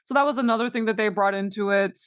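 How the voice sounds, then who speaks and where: A woman's voice: mid-range voice, quick pace. A woman co-hosting a casual podcast discussion, engaged and explanatory as she talks. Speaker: podcast co-host.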